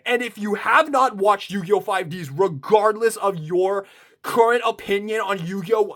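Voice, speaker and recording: A man's voice: sits high, fairly bright tone. A young man in his twenties reviewing a toy in a casual unboxing, gushing with enthusiasm and swearing freely. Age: 20s.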